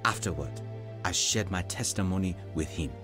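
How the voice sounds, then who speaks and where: An actor playing an earnest, self-doubting African evangelist nearing forty, telling his testimony in an audio drama in a measured, confessional way. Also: sits low, music in the background, natural voice. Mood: reflective. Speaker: narrator and lead character in an audio drama.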